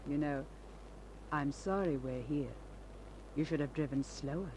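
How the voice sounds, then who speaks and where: A sly, flirtatious noir dame. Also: female voice, deep voice, measured pace, background noise. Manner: coy, teasing. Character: flirtatious, sly blonde femme fatale. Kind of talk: old-time radio crime drama acting. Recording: old radio recording with faint music.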